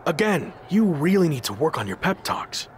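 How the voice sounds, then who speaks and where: A man's voice: fairly high pitch, sing-song, moderate pace, slight background noise. A man playing a determined underdog pro wrestler in a video game cutscene. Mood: dry, resolved.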